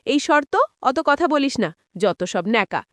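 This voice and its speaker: A woman voicing a cruel, bossy village mother in a cartoon, sharp-tongued and scolding. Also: sits high, expressive, unhurried, no room sound, clean studio sound. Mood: scolding, threatening. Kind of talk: cartoon voice acting.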